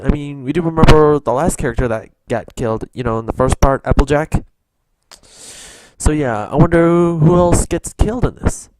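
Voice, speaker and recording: A man hosting a reaction video with casual, halting and hesitant commentary. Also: mid-range voice, darkish tone, slight background noise, poor audio.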